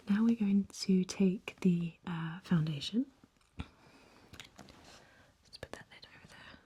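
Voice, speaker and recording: A young woman speaking very softly in a gentle, halting ASMR makeup chat, her whispery voice slightly croaky and hoarse after an illness. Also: lowish voice. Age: young adult. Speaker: ASMR vlogger.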